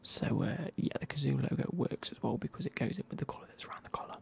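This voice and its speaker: Whispering man with a gravelly edge. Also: medium pitch, lively intonation, fast. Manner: soft whispering, wry asides. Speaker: football kit reviewer. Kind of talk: whispered casual review.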